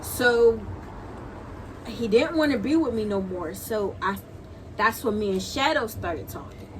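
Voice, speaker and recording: A young adult woman in casual storytime chat, slangy and halting, repeating phrases for emphasis. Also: on the high side, animated. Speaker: video creator, guest co-storyteller. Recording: clearly noisy home video recording.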